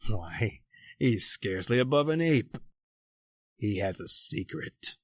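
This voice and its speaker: An actor playing a proud, educated doctor adrift at sea, in a formal, theatrical old-time radio horror style. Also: male voice, fairly low pitch, expressive, slow, dry recording, clean studio sound, exaggerated voice. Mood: grave, brooding.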